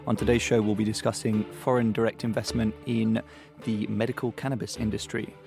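A man's voice: fairly low pitch, level tone, fairly quick, vocal fry, music in the background. A man hosting a business podcast interview, stumbling and repeating words as he asks questions. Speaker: podcast host.

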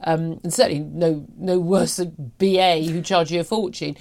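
Outspoken British woman in her fifties, lowish voice. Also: steady tone, normal speed, darkish tone. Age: 50s. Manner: emphatic, chatty, outspoken. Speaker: radio talk show host. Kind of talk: opinionated news discussion.

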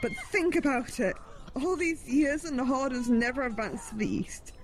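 A woman's voice: high-pitched, lively intonation, moderate pace, rough recording. A woman playing a determined, pragmatic fantasy hero in an audio drama, earnest and insistent. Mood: resolute. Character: determined, pragmatic female fantasy hero urging on a despairing comrade.

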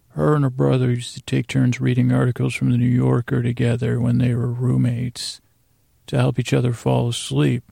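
A man hosting a bedtime podcast in a meandering monologue, mumbling and stumbling with long pauses. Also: mid-range voice, creaky, warm tone, no room sound, studio quality. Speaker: podcast host.

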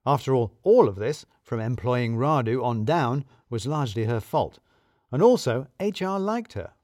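Male narrator for comic fiction: mid-pitched, very expressive intonation, wry and self-deprecating, close dry sound. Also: moderate pace, no echo. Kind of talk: scripted first-person comic story narration.

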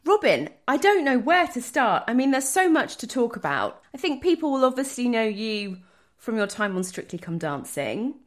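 A woman's voice: on the high side, big pitch swings, moderate pace. A woman hosting an interview, asking curious, chatty questions. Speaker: interviewer, host. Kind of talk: conversational interview.

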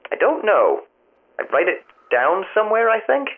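An actor playing a hesitant, wry and affable phone tester in an audio drama, talking in a halting, bemused way through a telephone filter. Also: male voice, high voice, sing-song. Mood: vague, matter-of-fact. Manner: halting, vague, literal-minded, politely bemused. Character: hesitant, affable, wry man who tests the tower phones. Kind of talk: naturalistic audio drama dialogue. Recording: thin phone-line sound, music underneath.

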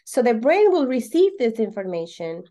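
A woman from Venezuela with a Venezuelan Spanish accent explains things as an interview guest, repeating words for emphasis in halting English. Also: a little high, very animated, warm tone. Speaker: podcast guest, holistic coach.

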